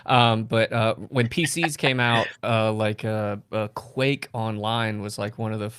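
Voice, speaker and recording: A man's voice: on the low side, fairly even intonation, unhurried, a bit bright, smooth, no room sound, studio quality. A man in his thirties hosting a stream and chatting casually about games. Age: 30s. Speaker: comedy stream host. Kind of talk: casual gaming chat.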